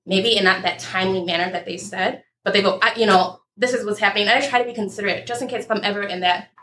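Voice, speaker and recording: A woman guest speaks quickly in a bright, clear voice, talking her views through thoughtfully and at length. Faint room ambience sits behind her. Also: medium pitch, fairly even intonation, slight background noise. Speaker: panel guest. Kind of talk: casual debate.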